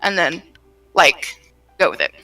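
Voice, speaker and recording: A woman's voice: low-pitched, sing-song, a little slow, sharp tone, distant mic, faint music behind, low-quality recording. A woman in a casual chat about her creative projects, rambling and circling back on her words as she goes. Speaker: game developer, writer and voice actor.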